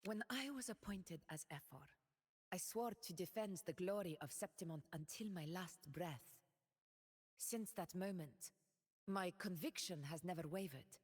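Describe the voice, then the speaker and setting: A solemn regal warrior ruler. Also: female voice, fairly low pitch, breathy edge, in a large hall. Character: proud noble woman ruler, steadfast warrior. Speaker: game character voice lines. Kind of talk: game voice lines.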